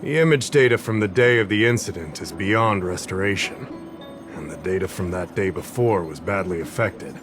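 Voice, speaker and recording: An actor playing an affable, easygoing investigative official in a video game, conversational and explanatory. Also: male voice, deep voice, moderate pace, music in the background, close to an everyday voice. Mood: explaining. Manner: conversational, slightly absent-minded, explanatory. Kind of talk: video game character acting.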